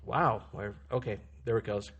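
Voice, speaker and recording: A man's voice: lowish voice, normal speed, muffled recording. A young man hosting a casual gaming podcast, running a sound check and restarting his intro. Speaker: podcast host. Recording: noisy home podcast mic.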